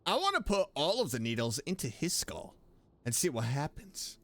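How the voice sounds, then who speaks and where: A streamer reacting casually to a game, with playful, expressive interjections. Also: medium pitch, normal speed. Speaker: streamer, VTuber.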